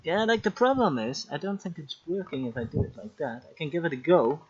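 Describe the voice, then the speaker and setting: A man's voice: on the high side, big pitch swings, brisk, breathy edge. A man hosting a casual live stream on a video call, chatty and joking while fighting technical trouble. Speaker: host. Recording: slightly dull lo-fi stream audio.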